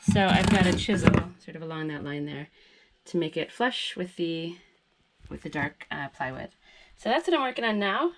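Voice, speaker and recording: A woman's voice: fairly low pitch, expressive, moderate pace, slight background noise. A woman with a stuffy nose from a head cold, chatting casually and self-deprecatingly as she works on her tiny house. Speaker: DIY vlogger. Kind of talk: casual vlog narration while working.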